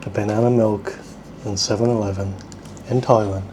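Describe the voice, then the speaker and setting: A man's voice: lowish voice, fairly even intonation, moderate pace, dark tone. A man hosting a casual food-tasting vlog, rambling and thinking aloud in a self-deprecating way. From South Korea, at least partly. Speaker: vlog host. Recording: clearly noisy ambient background. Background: ambient noise.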